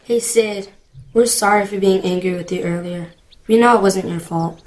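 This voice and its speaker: A woman playing an excitable, emotional young friend in an audio drama, speaking expressively. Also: mid-range voice, normal speed, slight background noise, close to an everyday voice. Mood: apologetic.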